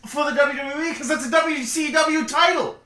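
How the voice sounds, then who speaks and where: A man's voice: extremely high pitch, normal speed, sharp tone, distant mic. A young man co-hosting a casual wrestling podcast who teases and heckles the others. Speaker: podcast co-host. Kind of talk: casual wrestling review chat. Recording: echoey room with faint noise.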